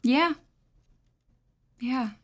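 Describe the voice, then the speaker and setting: An actress playing an inmate mother grieving her dead son, soft and hesitant. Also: high-pitched, extremely slow, heavily acted. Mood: reflective. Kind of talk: audio drama acting.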